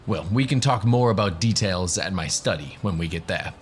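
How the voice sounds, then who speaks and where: A man's voice: low-pitched, animated, moderate pace, slight background noise. A man voicing a flustered, eager professor who rambles and fumbles politely in a radio-play tabletop game.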